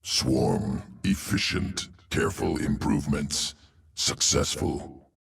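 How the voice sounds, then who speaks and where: An actor playing a cold, analytical alien creature in a video game, talking in detached, clipped fragments with a gravelly voice. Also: male voice, extremely low pitch, expressive, slow, recorded from a distance, full character voice. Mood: detached appraisal.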